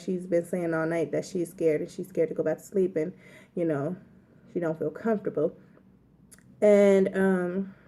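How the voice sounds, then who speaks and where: A young woman with a low, mellow voice recounts a story to her camera. She is chatty and rambles, filling her talk with "like" and "you know". It sounds like a casual vlog. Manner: chatty, lots of "like" fillers, rambling retelling. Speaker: vlogger.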